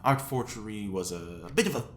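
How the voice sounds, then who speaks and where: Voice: medium pitch, animated, fairly quick, lightly acted. A woman playing a flippant, grandiose art-forger convict with wry mock politeness in a sci-fi comedy audio drama. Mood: breezy boasting. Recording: roomy echo, faint background noise.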